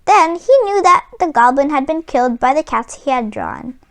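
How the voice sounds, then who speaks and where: Female storybook reader for children: high pitch, very animated melody, dramatic emphasis on key words. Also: normal speed. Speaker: children's read-aloud host. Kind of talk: expressive storybook read-aloud for children.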